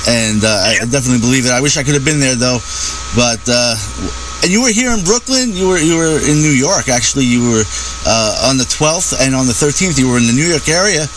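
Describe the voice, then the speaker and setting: A man's voice: mid-range voice, lively intonation, brisk. A man hosting a radio show, interviewing a singer with fan-like enthusiasm. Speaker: radio host. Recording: clear background noise.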